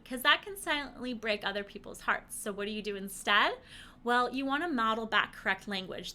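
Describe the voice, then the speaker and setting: Bright, high-voiced woman teaching. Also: a little hiss or hum. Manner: animated, encouraging, chatty with rhetorical questions. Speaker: presenter teaching parents. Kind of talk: upbeat conversational instructional talk to camera.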